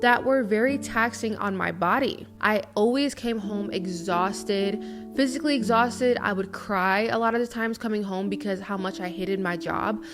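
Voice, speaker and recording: A woman's voice: medium pitch, normal speed. A woman in her early twenties, talking to camera in a chatty, earnest vlog, often saying "you know". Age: early 20s. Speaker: lifestyle vlogger. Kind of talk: casual confessional talk to camera. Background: guitar music underneath.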